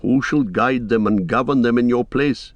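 A wise old storybook wizard, acted by a male reader, grave and stern. He speaks in a solemn, measured way at a middling pitch, with full authority. The sound is very dry. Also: no room sound, lightly acted.

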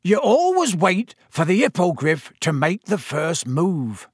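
Man putting on a huge, hearty, booming rustic man. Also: sing-song, unhurried, husky, no echo, clean studio sound, full character voice. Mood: earnest instruction. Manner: booming, folksy, ungrammatical speech. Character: huge, hearty, enthusiastic man, rustic creature-keeper and teacher. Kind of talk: audiobook character voice.

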